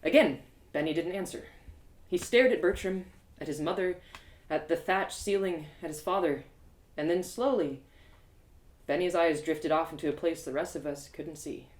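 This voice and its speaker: Expressive woman storyteller in an echoey room. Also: sits low, moderate pace, pauses often. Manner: expressive, theatrical delivery. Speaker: host and narrator of a live audio story reading. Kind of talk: animated first-person storybook narration.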